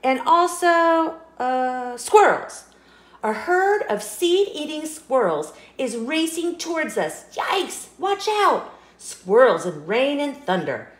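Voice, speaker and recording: A woman's voice: high-pitched, big pitch swings, slow, roomy sound, pitched above the performer's own voice, heavily acted. A woman voicing a grumpy, anxious, scheming male sunflower seed in a children's storybook, with dramatic, exclamatory delivery. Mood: loud, urgent. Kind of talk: children's storybook read-aloud.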